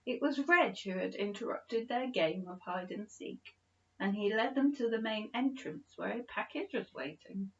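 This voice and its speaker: A woman's voice: mid-range voice, a bit bright. Woman narrating a bedtime story, slightly muffled. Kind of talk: expressive scripted children's story narration.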